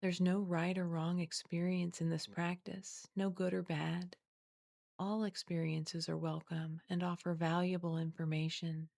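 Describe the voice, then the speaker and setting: A woman guiding a body scan meditation in a gentle, soothing, unhurried way, leaving long pauses between scripted phrases. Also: on the low side, level tone, gravelly, no room sound, clean studio sound. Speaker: guided meditation teacher.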